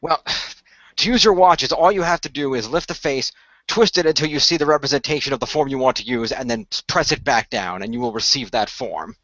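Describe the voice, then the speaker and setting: A man playing a composed, knowledgeable leader of a secret hero team, speaking in measured, formal explanations. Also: mid-range voice, expressive, fairly bright tone, no room sound. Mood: dry, composed. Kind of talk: amateur radio play acting. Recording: dry, slightly muffled home recording.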